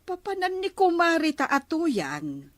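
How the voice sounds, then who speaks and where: A woman's voice: very high voice, huge pitch swings, a little slow, over music, exaggerated voice. A woman playing a gossipy village woman in a radio comedy drama. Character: gossipy village woman chatting with her female friends.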